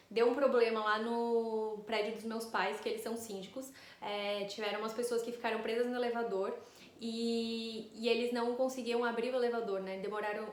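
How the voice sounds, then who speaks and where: A woman's voice: fairly high pitch, reverberant. A Brazilian woman, an astrologer, telling a rambling travel story to camera in a casual, chatty way, with frequent tag questions.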